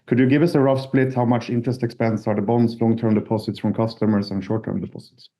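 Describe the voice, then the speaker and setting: A man's voice: medium pitch, steady tone, quick pace, mellow. A man moderating a formal earnings call, taking and reading out questions.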